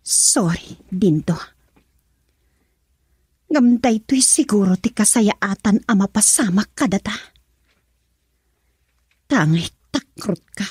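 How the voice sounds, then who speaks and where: A woman's voice: medium pitch, animated, takes it slowly, long pauses, a little mellow, husky, no echo, studio quality, full character voice. A woman acting a heartbroken young daughter in an Ilocano radio drama, with an Ilocano accent. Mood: sorrowful.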